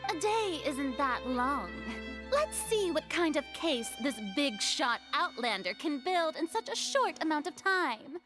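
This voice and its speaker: A woman's voice: high voice, sing-song, a little slow. A woman voicing a haughty, showboating young lady ruler in an anime game, pompous and dramatically grandiose. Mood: smug. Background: background music.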